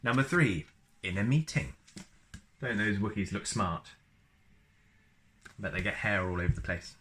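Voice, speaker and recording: A man reads aloud in a fairly low, bright voice. He narrates like a storyteller and drops in playful, casual asides for young listeners. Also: a little slow. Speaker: storyteller reading a book aloud for a video channel.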